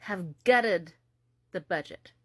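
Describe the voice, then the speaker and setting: A woman from the United States speaks in slow, drawn-out phrases, heavy with sarcasm and disbelief. Her voice sits in a middle register. The recording is dry and close. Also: fairly low pitch, animated, slightly rough, no room sound. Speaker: commentary host. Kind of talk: political commentary.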